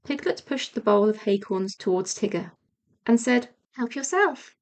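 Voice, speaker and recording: A woman's voice: mid-range voice, normal speed, a little mellow. A woman narrating a children's audiobook as a scripted storyteller.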